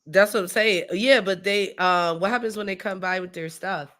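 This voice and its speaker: A woman's voice: medium pitch, moderate pace, a bit bright. Casual, slangy woman chatting on a stream. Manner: informal, slangy. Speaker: streamer co-hosting a Lego build. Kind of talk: casual chat while building.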